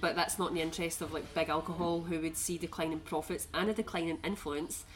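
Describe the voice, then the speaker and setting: Lower-voiced woman explaining facts. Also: fairly even intonation, rough recording. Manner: informative, measured, with fillers. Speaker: podcast co-host. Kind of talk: casual chat with researched facts.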